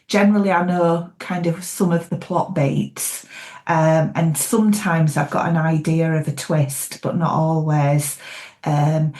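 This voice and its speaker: A woman with a Yorkshire accent, talking as an author guest in a relaxed interview, chatty with frequent ums and easy laughs. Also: low-pitched. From Yorkshire, England. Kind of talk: casual interview chat.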